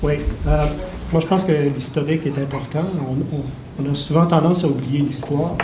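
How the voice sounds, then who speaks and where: A man speaking at a public meeting, measured and earnest. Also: a little high, fairly even intonation. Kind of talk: unscripted public comment. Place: meeting hall. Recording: echoey hall, faint background noise.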